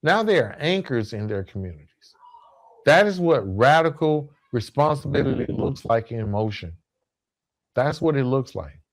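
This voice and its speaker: A man hosting a podcast, delivering a motivational monologue in an emphatic, deliberate way with rhetorical questions and repeated phrases. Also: medium pitch, expressive. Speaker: podcast host.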